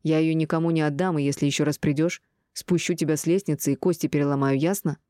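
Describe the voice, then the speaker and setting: A woman's voice: low voice, fairly even intonation, fast, mellow, no echo, studio quality, lightly acted. A woman reading the part of a hot-tempered, jealous tough man, threatening and coarse, in an audiobook. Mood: furious threat.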